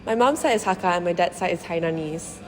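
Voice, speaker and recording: A young woman from Singapore answers in a measured, thoughtful way, her voice slightly low. She sounds like she's in a roomy hall with a long echo. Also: lively intonation, slight background noise. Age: young adult. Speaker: design student interviewee. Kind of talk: casual interview answers. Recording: roomy hall with faint ambience.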